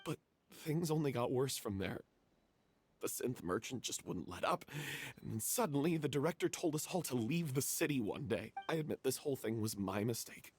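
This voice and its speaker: A man voicing a remorseful, cowardly young member of a theatre troupe in a video game, halting and anguished as he confesses. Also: medium pitch, expressive, slight background noise, close to an everyday voice. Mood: confessional, uneasy.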